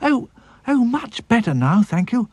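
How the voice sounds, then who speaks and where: A man voicing a small, timid cartoon fellow with a squeaky, eager way of speaking. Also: very animated, measured pace, a little mellow, no echo, heavily acted. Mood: meek. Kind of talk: children's storybook character voice.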